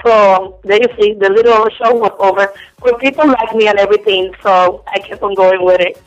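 A woman's voice: mid-range voice, steady tone, moderate pace, faint music behind. A young woman from Cuba with a Spanish accent, talking frankly and giggling as a phone-in guest on a casual radio interview. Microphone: telephone. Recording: dull lo-fi phone line.

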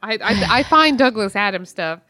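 A woman's voice: mid-range voice, lively intonation, moderate pace, pushed and bright, no echo. An American woman co-hosting a podcast, giving her opinions on books in informal, mildly sweary chat. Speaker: podcast co-host. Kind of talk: casual book club chat.

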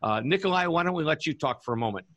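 A man's voice: on the high side, animated, racing, a bit bright, no room sound. A man hosting a business radio show, chatting in an upbeat, enthusiastic way as he introduces guests. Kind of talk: casual interview hosting.

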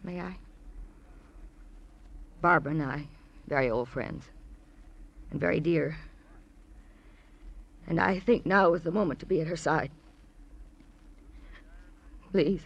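An actress playing a genteel, self-absorbed middle-aged society woman in a radio drama, with mannered, deliberate phrasing. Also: deep voice, creaky, slightly rough, lo-fi sound, heavily acted. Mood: pleading, mournful. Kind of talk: old-time radio drama acting.

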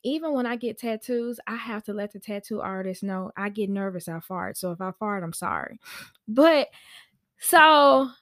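A woman telling a personal story on a podcast in a chatty, animated, slangy way, acting out conversations as she goes. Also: mid-range voice, moderate pace, no echo, clean studio sound. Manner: informal slangy speech. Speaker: podcast host and nanny.

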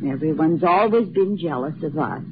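A woman's voice: low voice, expressive, moderate pace, background noise. An actress playing a querulous, resentful old woman in 1940s radio drama, anxious and nagging. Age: older. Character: fretful, resentful old spinster sister. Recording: tinny, muffled 1940s radio broadcast, music.

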